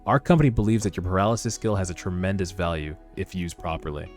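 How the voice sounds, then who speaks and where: A man voicing a polite, businesslike corporate recruiter in a measured, formal way for a webtoon read-aloud. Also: sits low, darkish tone, lightly acted. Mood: measured, courteous. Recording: background music under voice.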